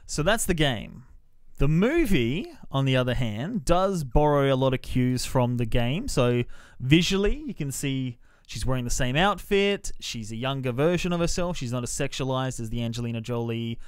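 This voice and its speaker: A man hosting a podcast, explaining things in a halting, informal way as part of a casual film review chat. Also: medium pitch, expressive, clear, resonant tone, no room sound, clean studio sound.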